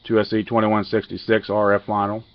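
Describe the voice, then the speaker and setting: A man giving a casual hands-on technical walkthrough as a radio hobbyist, halting and matter-of-fact, picked up on a handheld camera mic. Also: fairly low pitch, monotone, no echo, lo-fi sound. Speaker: amateur radio hobbyist demonstrating a modified radio.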